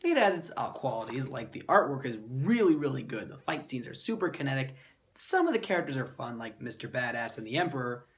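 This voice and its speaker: A man's voice: mid-range voice, sing-song, brisk, fairly bright tone. A man hosting a scripted comedic review, narrating in a sarcastic, mildly profane way. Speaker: comic book reviewer and host.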